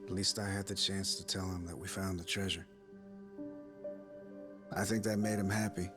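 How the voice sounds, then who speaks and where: Man playing a low-voiced subdued grieving son. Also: little pitch movement, moderate pace, lightly acted. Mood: wistful. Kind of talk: dramatic video game cutscene acting. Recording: music behind the voice.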